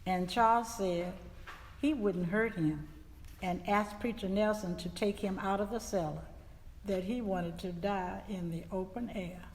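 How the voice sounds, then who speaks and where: A woman playing a grieving widow giving earnest, deliberate testimony in a staged dramatic reading. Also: mid-range voice, a little mellow, natural voice. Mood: grave. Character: grieving widow recounting her husband's killing. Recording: reverberant hall, faint background noise.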